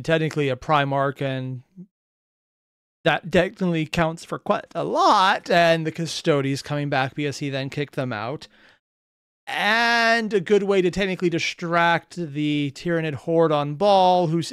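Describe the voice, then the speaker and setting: A man's voice: a little high, moderate pace, fairly bright tone, smooth, no echo, studio quality. A man hosting a reaction video, giving off-the-cuff, sarcastic and exasperated commentary full of rambling asides.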